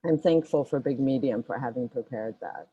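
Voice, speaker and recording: A woman in her forties from Ethiopia, an artist reflecting thoughtfully on her work in a panel conversation, pausing often as she searches for words. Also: rumbling low, mellow, breathy edge, no echo, highs cut off. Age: 40s. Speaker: artist guest.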